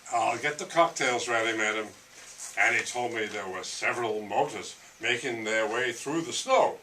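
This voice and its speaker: A man's voice: medium pitch, normal speed, bright, forceful tone, close to an everyday voice. A man playing a formal, deferential household butler at a stage play table reading. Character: deferential male household butler serving a society hostess. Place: library room. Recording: distant mic, faint room noise.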